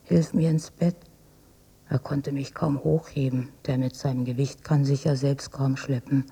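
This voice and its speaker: An actress playing a frail older mother in a radio drama, weak and husky, long-suffering and self-effacing. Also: rumbling low, level tone, measured pace, mellow, full character voice. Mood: pained, recounting. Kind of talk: intimate radio drama monologue and dialogue. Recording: dull cassette copy of radio play.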